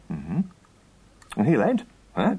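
An actor playing a courteous, quietly sly government investigator in a radio play, with a husky voice and a hesitant, musing way of questioning. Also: male voice, medium pitch, huge pitch swings, takes it slowly, exaggerated voice. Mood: probing. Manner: hesitant, polite, musing, repeats himself. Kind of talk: Dutch radio drama acting.

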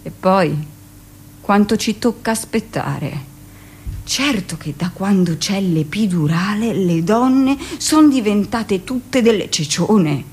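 A woman's voice: mid-range voice, darkish tone, slightly rough. A woman playing a brusque, gossipy middle-aged hospital midwife who tells her memories in a theatrical, conversational radio monologue. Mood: brusque, complaining. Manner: conversational, dramatic pauses, shifts into mimicked speech. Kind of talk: theatrical first-person monologue, confiding storytelling.

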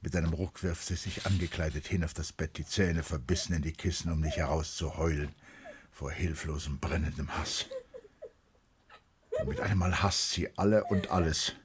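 A man narrating a literary radio play in a grave, emphatic manner with weighted pauses. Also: a bass voice, steady tone, background noise.

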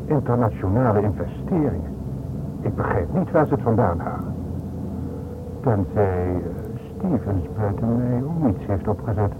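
Secretive, controlling man played by an actor, lowish measured voice, radio drama, tinny and noisy old recording. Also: expressive, natural voice. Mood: wary. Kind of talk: Dutch radio play acting.

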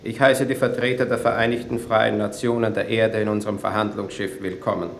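An actor playing a plain, courteous colonist delegate, terse and matter-of-fact, in a 1950s German radio drama. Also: male voice, lowish voice, little pitch movement, fairly quick, creaky. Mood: sober, matter-of-fact. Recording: roomy old radio play, faint noise.

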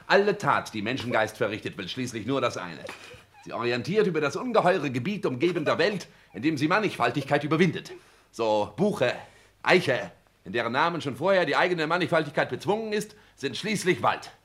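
A man acts out a vain, grandiose husband in a 1960s radio play. His mid-pitched voice swoops expressively as he declaims and lectures, dropping affected French phrases with great self-importance. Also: expressive, brisk, a bit bright, natural voice. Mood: pedantic, lecturing.

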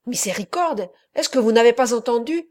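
A woman narrating a novel plays a flustered older housekeeper, bossy by nature. Her exclamations come out shrill and loud, well above the reader's normal pitch. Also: animated, normal speed, lightly acted. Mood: alarmed.

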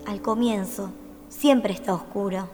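A woman playing a gentle, solemn young empress of a fantasy realm in a radio drama. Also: medium pitch, steady tone, measured pace, soft background music, lightly acted. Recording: long hall reverb on studio recording.

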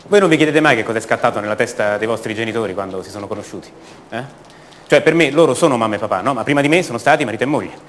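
Grown son played by a male actor: mid-pitched, bitter and restless, stumbling over repeats as he confides in listeners, radio play, faint ambient noise. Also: lively intonation, rapid-fire, a bit bright, natural voice. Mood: questioning, cynical. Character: bitter, restless grown son back in his empty family home. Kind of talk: stage-play monologue acted for radio theatre.

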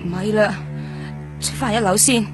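A woman's voice: mid-range voice, steady tone, moderate pace, loud music behind the voice, natural voice. An actress playing a tired, streetwise woman in a radio drama, offhand and grumbling. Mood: grumbling, uneasy.

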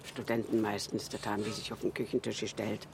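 An actress playing a fond, chatty elderly widow who rambles through memories in a naturalistic radio drama. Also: female voice, rumbling low, flat delivery, brisk, creaky, noisy background. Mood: nostalgic, wistful. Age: older. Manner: rambling, reminiscing, with colloquial tag questions. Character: chatty, fond old Berlin widow, former flower seller.

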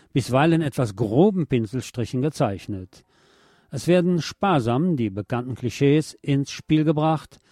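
A man's voice: medium pitch, a little mellow, dry recording. A man presenting a scripted film review as a radio host.

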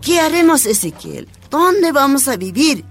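A woman's voice: fairly high pitch, big pitch swings, moderate pace, fairly bright tone, faint music behind. A woman playing an anxious Bolivian miner's wife in a radio drama, worried and pleading. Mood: worried, reproachful.

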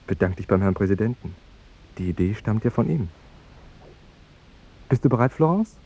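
An actor playing a cheerful, guileless young fiancé who talks on with needling politeness in a 1950s radio play. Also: male voice, on the low side, expressive, moderate pace, mellow, slightly rough. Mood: insistent. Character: Jerome, cheerful, naively chatty young fiancé. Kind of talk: 1950s German radio drama acting. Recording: dull 1950s radio recording, music behind.

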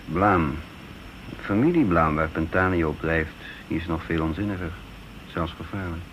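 An actor playing a guarded, earnest intelligence chief in a radio drama, musing and hesitant, on a dull, noisy old recording. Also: male voice, deep voice, measured pace, a bit bright. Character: guarded male intelligence chief, flippant facade over gravity. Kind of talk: radio drama acting with first-person narration.

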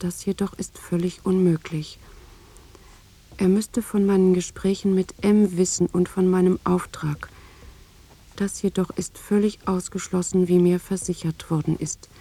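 A woman's voice: fairly low pitch, mellow. An actress playing a wary wife who informs in secret, reading her report in a restrained, matter-of-fact way as a radio drama monologue.

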